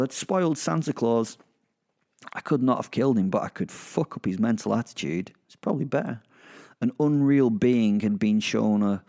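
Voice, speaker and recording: A man telling first-person dark comedy horror stories in a sardonic, conversational, sweary manner as a petty werewolf. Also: on the low side, creaky. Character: petty, sardonic werewolf narrating his own misdeeds. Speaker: podcast host and storyteller narrating in character.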